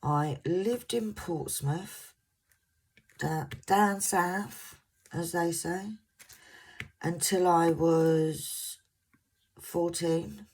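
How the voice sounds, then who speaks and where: A woman in her fifties, originally from Scotland, with a soft voice and a mixed English accent, chatting to viewers in a casual, rambling way and sometimes stumbling over words. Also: fairly low pitch, fairly even intonation, drawn-out words, pauses often. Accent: English, mixed southern. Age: 50s. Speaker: crafting vlogger.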